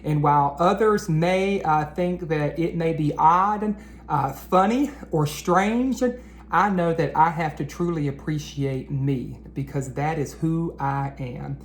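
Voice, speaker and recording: A man reading aloud to children like an educator, with an expressive, encouraging manner that speaks straight to them. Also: on the high side, takes it slowly. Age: adult. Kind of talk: animated children's read-aloud. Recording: roomy echo, faint background noise.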